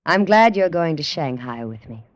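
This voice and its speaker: An actress playing a self-assured American woman doctor in an old radio drama, brisk, confident and lightly teasing. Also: sits low, sing-song, loud music behind the voice. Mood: teasing, intimate. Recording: dull old radio recording.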